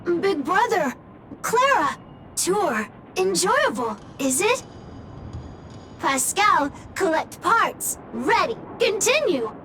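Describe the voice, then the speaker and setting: A very high, swooping little robot voice speaks slowly in halting, clipped fragments. It is a childlike, eager and loyal machine from a video game. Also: female voice, big pitch swings, fairly bright tone, slight background noise, full character voice. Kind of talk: video game story dialogue. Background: background music.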